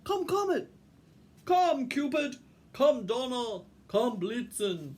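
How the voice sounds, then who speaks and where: Casting: big-voiced bossy old Father Christmas acted by a woman, very slow, swooping storybook calls. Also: very animated, darkish tone, heavily acted. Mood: calling out. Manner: booming, drawn-out commanding calls.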